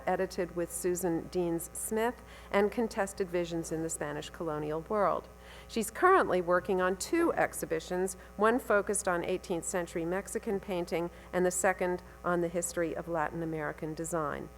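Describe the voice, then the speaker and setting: A woman with a lowish voice reads out a guest speaker's biography at a symposium. She trips over names and titles, fills gaps with "um" and "uh," and throws in dry asides along the way. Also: moderate pace, slight background noise, poor audio. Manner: reads a bio with stumbles, fillers and wry asides. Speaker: symposium host introducing the speaker. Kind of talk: reading a speaker introduction. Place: lecture hall at a symposium.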